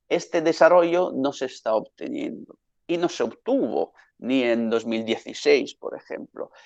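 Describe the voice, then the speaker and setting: Gravelly Italian-accented man giving a lecture. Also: fairly high pitch, a little mellow, dry recording. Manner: deliberate, emphatic, with rhetorical questions and repetitions. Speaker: academic giving a conference lecture. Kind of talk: lecture, speaking off the cuff. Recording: dull lo-fi audio.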